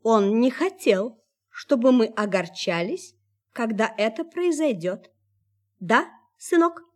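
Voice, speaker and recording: An actress playing an ageless, gentle android mother in a radio drama, speaking with wistful resignation. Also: on the high side, lively intonation, slow. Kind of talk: Soviet radio drama acting.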